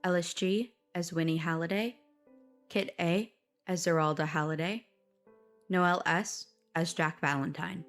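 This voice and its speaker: A woman's voice: lowish voice, steady tone, measured pace. A woman reading scripted closing credits as a podcast announcer. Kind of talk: scripted narration. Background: faint music.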